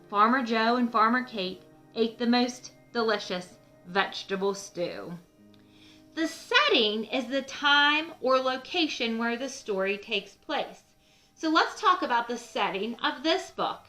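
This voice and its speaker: A woman's voice: on the high side, pushed and bright. A woman narrating a children's storybook expressively as read-aloud host, with teacherly explanatory asides.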